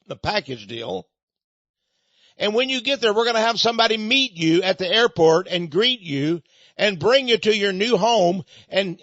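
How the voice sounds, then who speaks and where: A man's voice: high voice, fairly bright tone, dry recording, dull audio. A man hosting a radio talk show, delivering a rambling, sarcastic monologue straight to his listeners. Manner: sarcastic, mocking; rambling, addresses listeners as folks. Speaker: radio host.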